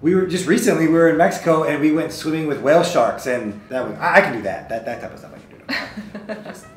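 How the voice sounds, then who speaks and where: A man in his thirties, co-host of a couple's vlog, chatting in a jokey, animated way and laughing often. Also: fairly high pitch, quick pace, reverberant. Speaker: co-host, real estate agent. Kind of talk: casual chat. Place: office with desk and orchids, indoors.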